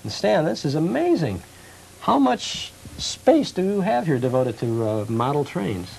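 A man's voice: fairly high pitch, big pitch swings, a little slow, vocal fry, darkish tone, slight background noise, low-quality recording. A middle-aged man, presenting as a television show host. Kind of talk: hosting interviews and reading shop promos.

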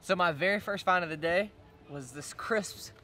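Bright-voiced young man, upbeat vlogger. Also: high voice, normal speed, a little hiss or hum. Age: young adult. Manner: upbeat, laughing often, chatty. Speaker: treasure-hunting diver and vlogger. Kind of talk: casual show-and-tell vlog. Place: outdoors at a picnic table in a parking area.

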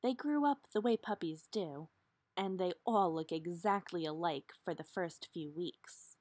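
Husky woman reading a picture book slowly. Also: lowish voice, a little mellow, dry recording. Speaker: storybook reader. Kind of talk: expressive children's read-aloud narration.

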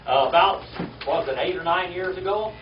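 A man's voice: high-pitched, animated, fairly quick. Older man teaching Bible class, roomy. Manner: drills class in word-by-word call and response, often asks "all right?". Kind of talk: classroom Bible lesson with Greek drills. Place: wood-panelled classroom with podium. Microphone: podium mic from far camera. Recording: roomy, distant, dull, noisy classroom video.

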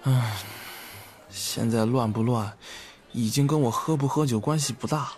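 A man acting a flustered young newlywed in an audio drama, halting and embarrassed as he confides in a friend. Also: medium pitch, a little mellow, soft background music, close to an everyday voice. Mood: resigned.

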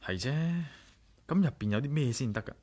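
A man playing firm inquisitive adventurer, Hong Kong Cantonese, lively intonation, mid-pitched, radio drama. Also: normal speed, close to an everyday voice. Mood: puzzled, frustrated. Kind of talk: 1980s radio drama acting.

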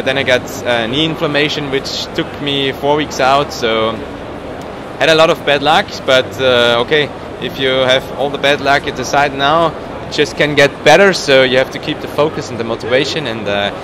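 A man's voice: medium pitch, fairly even intonation, moderate pace. A man of about thirty, not a native English speaker, giving a casual interview as a professional cyclist, searching for his words. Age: around 30. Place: indoor trade show booth. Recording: noisy trade show hall, background ambience.

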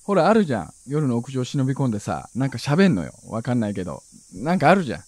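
A man's voice: medium pitch, very animated, quick pace, a little mellow, dry recording. An actor playing a blunt, easygoing and impulsive young man, the hometown friend, in a naturalistic radio drama. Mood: eager, offhand. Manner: casual, blunt, offhand.

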